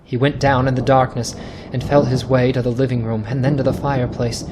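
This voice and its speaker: A man narrating a scripted ghost story in a dramatic, suspenseful, deliberate radio style. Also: medium pitch, little pitch movement, noisy background. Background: music.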